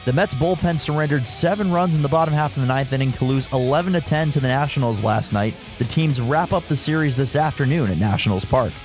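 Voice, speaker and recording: Casting: man, sports radio host for updates and show segments, medium pitch, light music bed. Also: quick pace, hardly any pauses.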